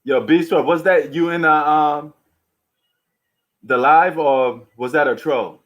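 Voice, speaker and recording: A man's voice: a little high, slow pace, a bit bright. A man hosting a live sports stream, chatting casually with slangy, bantering commentary. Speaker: livestream sports host.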